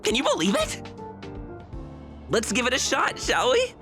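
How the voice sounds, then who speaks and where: A woman's voice: high voice, huge pitch swings, measured pace, over music, exaggerated voice. A woman playing a playful, chatty, teasing young woman in a video game. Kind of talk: video game character acting.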